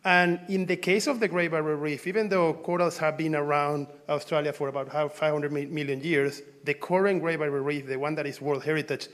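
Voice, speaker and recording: A man's voice: fairly high pitch, steady tone, a bit bright. Venezuelan-born man giving a conference talk. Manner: conversational, halting, frequent fillers and restarts. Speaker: researcher presenting at a symposium.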